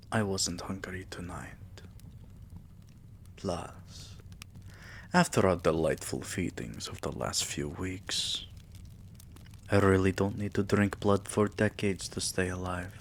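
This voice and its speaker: A man playing a tender, possessive vampire king in an intimate roleplay ASMR, speaking softly and breathily with courtly endearments and often dropping into a whisper. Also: sits low, slow pace, creaky, long pauses, slight background noise, full character voice. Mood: adoring, soothing. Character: tender, possessive male vampire king doting on his human pet.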